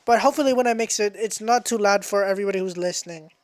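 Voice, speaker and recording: A young adult woman hosting a podcast in a casual, slangy, sweary freestyle ramble, scattered and excitable, close on the microphone. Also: medium pitch, racing, dry recording. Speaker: podcast host. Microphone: close microphone through a mixer.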